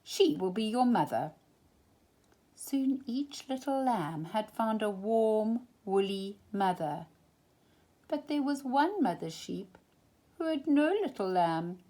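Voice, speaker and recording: A woman storyteller reading a children's storybook aloud, expressive and emphatic, drawing out key words. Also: on the high side, slow.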